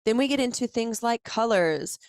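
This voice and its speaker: A woman coach explaining her client intake form in a conversational teaching tone, pausing to correct herself as she scrolls. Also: a little high, animated, clear, resonant tone, dry recording, studio quality. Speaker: coach and facilitator. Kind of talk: instructional walkthrough.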